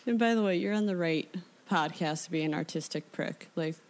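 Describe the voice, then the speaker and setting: A woman's voice: lowish voice, fairly quick. An American woman hosting a casual interview, informal and joking in how she talks. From United States, Illinois. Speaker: podcast host.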